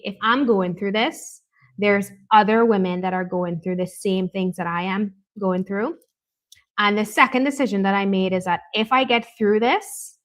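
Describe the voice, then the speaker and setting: A woman's voice: mid-range voice, fairly bright tone. A woman guest on a talk show, a life coach from Canada, talking candidly and reflectively, often adding "right?" as she goes. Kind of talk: conversational interview.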